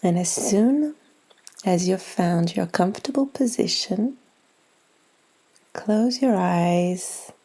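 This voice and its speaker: A woman leading a guided yoga nidra meditation in a soft, gentle, unhurried way, with long pauses and phrases that trail toward breathiness. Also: mid-range voice, animated, slightly rough, low-quality recording.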